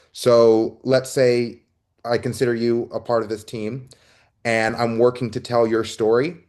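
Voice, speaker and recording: A man interviewing a guest, a self-described storyteller who asks his questions in a deliberate, word-searching way. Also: mid-range voice, darkish tone. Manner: slow and deliberate, searching for words. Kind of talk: conversational interview.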